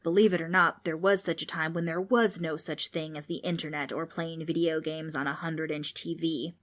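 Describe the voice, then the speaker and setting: A woman's voice: low-pitched, fairly even intonation, moderate pace, fairly bright tone. A woman voicing a cranky old male ghost who scolds, grumbles and loses his train of thought in a children's audiobook. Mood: storytelling. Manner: grumbling, exasperated, old-fashioned insults and rambling.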